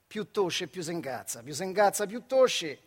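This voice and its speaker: Proud male factory worker, central Italian dialect, high pitch, animated storytelling, colloquial, radio theatre. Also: moderate pace, breathy edge, exaggerated voice. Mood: wry, animated. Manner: colloquial, animated, punctuated with rhetorical questions. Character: proud, stubborn, plain-spoken factory worker recalling his life. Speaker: actor-narrator.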